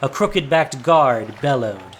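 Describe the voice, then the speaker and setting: A man's voice: medium pitch, animated, unhurried, a little hiss or hum. A man narrating a scripted story as a dramatic storyteller.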